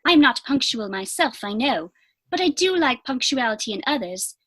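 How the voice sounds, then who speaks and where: A woman performs a girlish young lady in a comic stage play. Her voice is high and very animated. She sounds impatient and self-assured, with prim, emphatic phrasing. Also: normal speed. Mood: impatient, coy.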